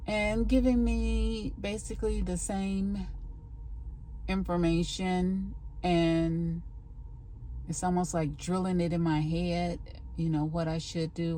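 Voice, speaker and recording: A woman's voice: on the low side, warm tone, a little hiss or hum. A woman vlogger chatting casually to the camera in a rambling, scattered way, recorded on a handheld phone.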